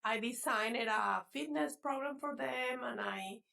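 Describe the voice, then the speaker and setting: High, gravelly Venezuelan woman. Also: measured pace, sharp tone. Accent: Venezuelan Spanish. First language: Spanish. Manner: chatty, laughs often, restarts words. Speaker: guest, fitness coach. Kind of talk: casual interview chat.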